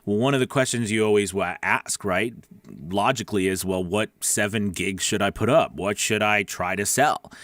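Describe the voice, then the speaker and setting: A man's voice: fairly low pitch, normal speed, a bit bright, no echo. A man hosting a live-streamed coaching show for new voice actors, talking in a chatty, rambling way with lots of fillers. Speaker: host.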